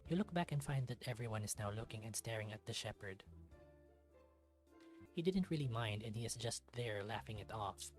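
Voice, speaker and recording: Casting: story narrator for a visual novel let's-read, medium pitch, expressive reading, music underneath. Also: normal speed.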